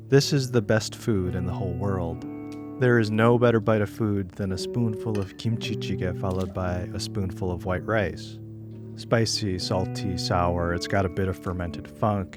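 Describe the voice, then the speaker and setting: Low-voiced man narrating over guitar. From United States. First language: Korean. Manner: reflective, candid, wry. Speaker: filmmaker narrating a personal film. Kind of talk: scripted personal voice-over narration. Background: guitar music.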